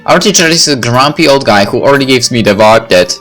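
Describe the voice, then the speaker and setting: A man's voice: mid-range voice, expressive, quick pace, fairly bright tone, faint music behind. A young man reads a visual novel aloud with casual commentary, stumbling over words now and then. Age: young adult. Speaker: let's play host.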